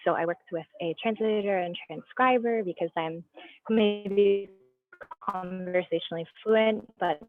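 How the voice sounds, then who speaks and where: A woman giving a research presentation on a video call, explaining in a measured way with small restarts. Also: mid-range voice, no echo, soft background music. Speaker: guest speaker presenting research. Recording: tinny phone-like line, dry.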